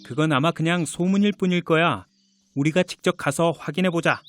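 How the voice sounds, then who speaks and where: A man's voice: fairly high pitch, brisk, dry recording, faint music behind, natural voice. A man voicing a confident, kind young prince in a children's fairy tale, earnest and assured.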